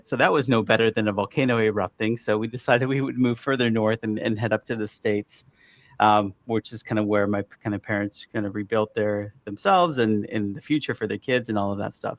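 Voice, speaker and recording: A middle-aged man from Chile, a marketing expert, telling his story in a relaxed way with fillers. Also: lowish voice, dry recording, muffled recording. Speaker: guest, digital marketing expert. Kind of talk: casual interview storytelling.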